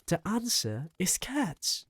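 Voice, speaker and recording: A reader voicing an excitable young boy in an audiobook, talking eagerly and shouting with excitement. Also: medium pitch, a little slow, no room sound, pitched above the performer's own voice. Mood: triumphant shouting. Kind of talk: audiobook character reading.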